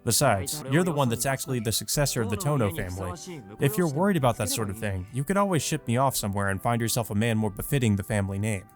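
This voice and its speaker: A man's voice: medium pitch, expressive, quick pace, lightly acted. Man playing an earnest young brother, music behind. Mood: mildly defensive. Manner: earnest, apologetic, casual. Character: earnest, weary teenage brother, visual novel protagonist. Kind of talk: visual novel character read-aloud.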